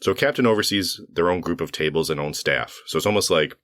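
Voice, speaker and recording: A man co-hosting a podcast in casual chat, his voice slightly hoarse, rambling with fillers and self-corrections. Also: low voice, quick pace, a bit bright, dry recording, studio quality. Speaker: podcast co-host.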